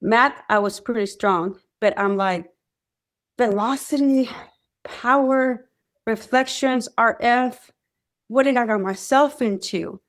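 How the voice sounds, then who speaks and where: A woman's voice: fairly high pitch, slow pace. Woman telling her story. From Mexico. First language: Spanish. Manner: animated storytelling, acts out quoted voices. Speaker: radar technician guest. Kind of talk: conversational interview.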